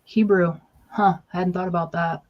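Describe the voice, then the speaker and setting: A woman's voice: on the low side, fairly even intonation, unhurried. Dull-sounding woman chatting loosely. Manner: loose, trailing off with fillers. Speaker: co-host. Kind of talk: casual chat.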